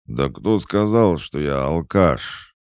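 A man acts out a drunken old storybook tomcat who fancies himself a great artist. He is theatrical and full of self-pity, drawling plaintively with a gravelly edge in a very dry recording. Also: very deep voice, animated, takes it slowly, a little mellow, no room sound, voice pushed up, heavily acted.

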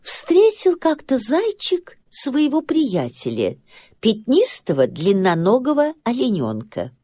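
A woman narrating a children's story as an expressive storyteller, with deliberate pauses. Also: a little high, husky. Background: music.

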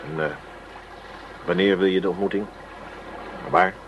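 An actor in a Dutch radio play playing a distrustful, jealous criminal accomplice who talks in terse, grumbling phrases. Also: male voice, sits low, steady tone, unhurried, highs cut off. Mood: suspicious, sullen. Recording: noisy radio drama with background ambience.

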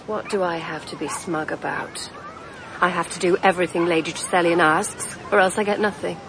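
Radio play: actress doing a composed, soft-spoken companion who likes to probe, crowd murmur and hiss behind. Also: female voice, fairly low pitch, fairly even intonation, fairly quick, close to an everyday voice. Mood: probing. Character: Mary Alden, quiet, watchful companion woman. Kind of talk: BBC radio drama acting.

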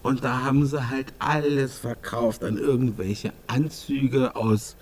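An actor plays a laconic local man telling stories in a radio drama. He speaks colloquially and very slowly, with slight noise and ambience around his voice. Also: mid-range voice, steady tone, a little mellow, lightly acted. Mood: laconic, resigned. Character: unhurried male local recounting his neighbourhood's decline.

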